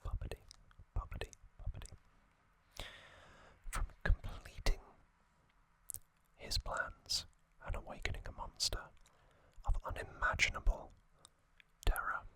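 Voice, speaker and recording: An ASMR host whispering trivia, softly repeating single words as triggers and reading from a box cover. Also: unhurried, pauses often, poor audio. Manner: reads aloud from a box cover.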